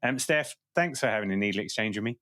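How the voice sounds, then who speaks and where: A man's voice: fairly low pitch, lively intonation, quick pace. A man hosting a podcast, chatting casually and jokingly with a guest, then reading the show outro. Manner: jokey, enthusiastic. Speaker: podcast host.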